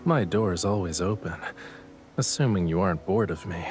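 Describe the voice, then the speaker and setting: A man's voice: low-pitched, animated, normal speed. A man playing a teasing, pouty and smug young love interest in a romantic audio drama. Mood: fond. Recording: music under the voice. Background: background music.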